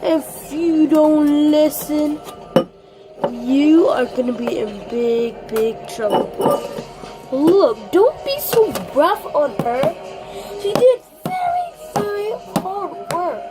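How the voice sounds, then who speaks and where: A young girl with a very high, childlike voice puts on a puppet show. She speaks slowly in dramatic, whiny swings from low to high. Music plays over the home recording. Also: very animated. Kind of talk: improvised puppet show play-acting.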